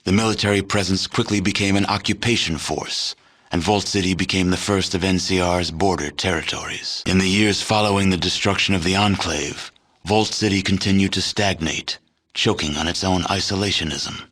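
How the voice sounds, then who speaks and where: Game narrator, a grave, world-weary wasteland storyteller. Low-pitched, solemn, measured delivery for scripted epilogues. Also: male voice, fairly even intonation, a bit bright.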